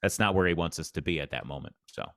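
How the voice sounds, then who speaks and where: A man who works as an arena PA announcer, talking earnestly in a casual interview with a deep voice. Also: fast talker, bright, forceful tone, no room sound, studio quality. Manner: earnest, plainspoken, repeats himself.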